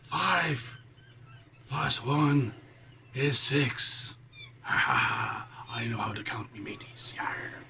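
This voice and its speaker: A man's voice: medium pitch, huge pitch swings, takes it slowly, gravelly, exaggerated voice. A man voicing a salty pirate who reads a children's storybook, chatting to his mateys with pirate talk and chuckles. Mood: playful, chatty. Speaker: storybook reader. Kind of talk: children's read-aloud with chatty asides. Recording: noisy, with background ambience.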